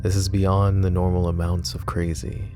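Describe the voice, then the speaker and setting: A man voicing a possessive, protective mafia boss in an ASMR roleplay, talking in a calm, confiding way with casual swearing. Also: low-pitched, moderate pace, over music, lightly acted. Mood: brooding. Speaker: voice actor.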